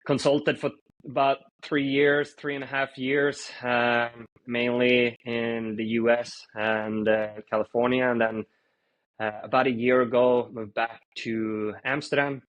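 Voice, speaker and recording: A man in his thirties from Sweden, a fintech founder answering interview questions in an easygoing, story-like way with many pauses. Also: mid-range voice, lo-fi sound. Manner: relaxed storytelling with frequent "uh" pauses.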